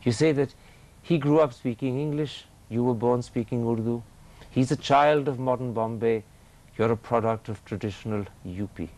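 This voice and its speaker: A man's voice: mid-range voice, moderate pace, darkish tone. A male interviewer asking direct, probing questions on a TV interview show.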